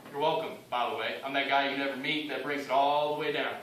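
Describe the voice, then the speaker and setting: A man performing a theatrical monologue as a cynical, bitter young drug dealer, defiant and speaking in clipped phrases with pointed pauses. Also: mid-range voice, steady tone, a bit bright, natural voice. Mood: defiant, smug. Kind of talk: theatrical monologue addressed to an audience. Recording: very echoey room, distant mic, faint noise.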